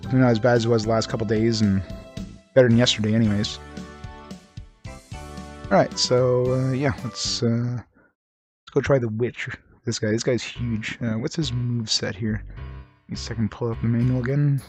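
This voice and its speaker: A man's voice: medium pitch, fairly even intonation, normal speed, long pauses, darkish tone, faint music behind. A man giving casual let's-play commentary, slightly nasal and congested as his voice recovers, rambling with chuckles and fillers. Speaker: game streamer.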